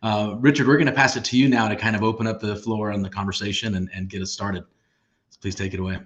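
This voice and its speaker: A man with a low voice talks quickly and briskly as he hands over the floor. He is moderating a webinar panel over a video call. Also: fairly even intonation, fairly bright tone, lo-fi sound.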